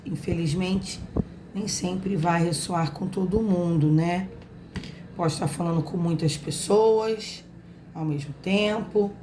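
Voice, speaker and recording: Hoarse, slow-talking Brazilian woman. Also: on the low side, warm tone, a little hiss or hum. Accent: Brazilian Portuguese. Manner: affectionate, chatty, talks straight to viewers. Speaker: tarot reader, channel host. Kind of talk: casual spiritual reading to camera.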